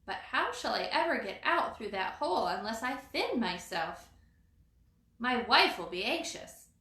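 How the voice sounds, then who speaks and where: Woman as an anxious husband squirrel, lively pitch. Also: unhurried, heavily acted. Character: anxious fat husband squirrel, mild-mannered. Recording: roomy echo.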